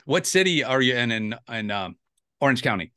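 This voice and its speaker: Bright, dry-voiced rambling male host. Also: mid-range voice, sing-song, normal speed, no echo. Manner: rambling, restarts often, chuckles. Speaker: podcast host. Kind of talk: casual interview chat.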